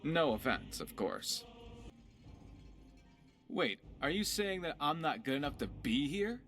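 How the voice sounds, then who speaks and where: Swashbuckling rogue for a game, playful and theatrical, wide melodic range. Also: male voice, on the high side, very animated, slow pace, fairly bright tone, soft background music, heavily acted. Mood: restless, wry. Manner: wry, boastful, theatrical. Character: dashing cocky young male pirate rogue, playful and tender. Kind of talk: voiced game character dialogue.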